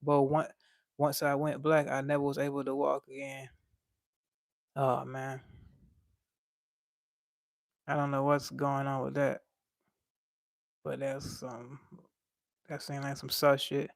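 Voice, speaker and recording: A man's voice: medium pitch, completely flat delivery, slow pace, gravelly, long pauses, no echo, clean studio sound. A young man with a light voice, chatting casually on a livestream and reading out chat in a laid-back, slangy way.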